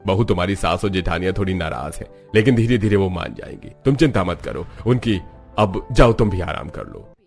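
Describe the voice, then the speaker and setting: A man's voice: low-pitched, lively intonation, brisk, creaky, darkish tone, faint music behind. A man voicing a kindly, traditional older father-in-law welcoming his family in a cartoon. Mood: reassuring.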